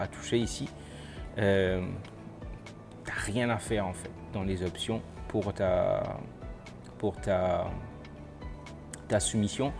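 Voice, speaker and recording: A man presenting a software tutorial in an informal, step-by-step, explanatory way. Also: on the low side. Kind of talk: casual software tutorial, screen walkthrough.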